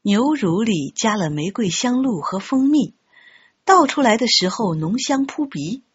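A woman narrating an audiobook story in an expressive, scripted storytelling style. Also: fairly high pitch, measured pace, husky, dull audio. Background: background music.

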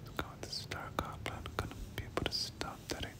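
A person doing whispered ASMR game commentary, speaking casually in gamer slang and often repeating short phrases. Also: quick pace. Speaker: gameplay commentator.

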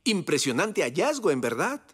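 A man playing an enthusiastic, scholarly inventor father in a cartoon dub, with an expressive, professorial manner. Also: fairly high pitch, moderate pace, background noise. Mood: musing.

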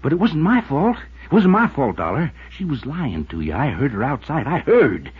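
A man's voice: mid-range voice, sing-song, moderate pace. An actor playing a desperate, guilt-ridden ranch foreman in a 1950s radio drama, frantically justifying himself. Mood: frantic, pleading. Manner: frantic, self-justifying, insistent repetition. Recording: husky-sounding dull 1950s radio recording.

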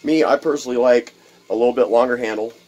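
A man's voice: medium pitch, fast, a little mellow, low-quality recording. A man in a cluttered home workshop, explaining a build step by step in a casual, rambling way, with plenty of fillers and repeated words. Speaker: DIY video host. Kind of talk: casual workshop how-to demonstration.

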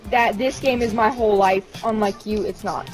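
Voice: medium pitch, steady tone, moderate pace, soft background music, poor audio. A young adult arguing in online game chat, sarcastic and irritated.

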